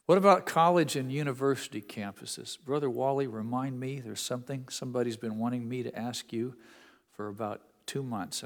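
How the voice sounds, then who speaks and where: A man with an Indiana accent preaching a sermon to his congregation in an earnest, conversational way with folksy asides. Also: mid-range voice. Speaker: pastor. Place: church sanctuary. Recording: long reverb of a church hall.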